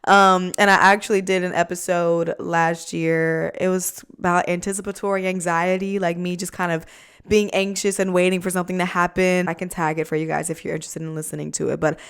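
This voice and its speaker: A woman's voice: lowish voice, level tone, fairly quick, clear, resonant tone. A young woman in her twenties hosting a podcast in casual chat, nasal and congested from a cold, talking close into a desk mic at home. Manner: chatty, lots of fillers and "like". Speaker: podcast host. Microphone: USB desk microphone up close.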